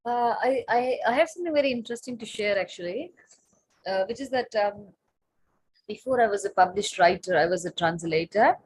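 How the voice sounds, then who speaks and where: A woman's voice: medium pitch, expressive, fairly quick, a little hiss or hum, rough recording. A woman in her late thirties, a Tamil native speaker, answering on a panel as a writer and translator, thoughtful, with many fillers and restarts.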